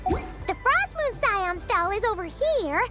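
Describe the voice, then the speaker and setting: A bubbly little game heroine with a very high, swooping voice, eager and a touch bossy as she shows off a festival. Loud music plays beneath her. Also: big pitch swings, slow pace, exaggerated voice. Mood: cheerful, eager. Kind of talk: video game voice acting.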